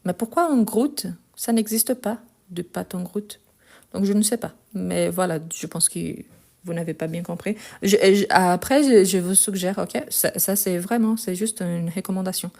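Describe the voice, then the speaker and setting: A woman French teacher slowly going through a written exercise, correcting mistakes and checking in with her students. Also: mid-range voice, gravelly, a little mellow. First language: Portuguese. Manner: patient, repeats words slowly, checks in with "ok?".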